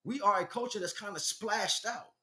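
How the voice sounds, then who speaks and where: A man's voice: sits high, moderate pace, bright, forceful tone. A man giving an impromptu spiritual talk as a teacher, speaking emphatically in short, repetitive bursts. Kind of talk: impromptu spiritual monologue, preaching.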